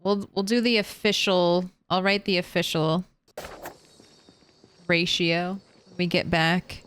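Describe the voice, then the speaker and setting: A woman's voice: lowish voice, level tone, takes it slowly, fairly bright tone, clear, resonant tone. A young adult woman streaming a game, chatting casually, thinking aloud and laughing often. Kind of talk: casual chat while gaming.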